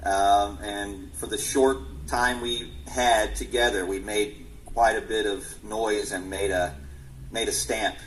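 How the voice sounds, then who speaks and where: Musician guest, male, forties: earnest, low-pitched, restarts his sentences a lot. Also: fairly even intonation, a bit bright, a little hiss or hum, poor audio. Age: 40s. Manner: earnest, halting with many restarts. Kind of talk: casual interview answers.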